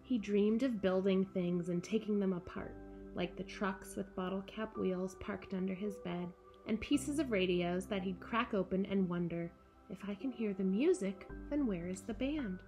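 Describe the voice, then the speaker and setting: Expressive American woman reading to children. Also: mid-range voice, moderate pace, soft background music. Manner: animated, exaggerated enthusiasm for young children. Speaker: camp director hosting a children's story corner. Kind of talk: children's storytime presenting and picture-book read-aloud. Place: small furnished room at home, indoors.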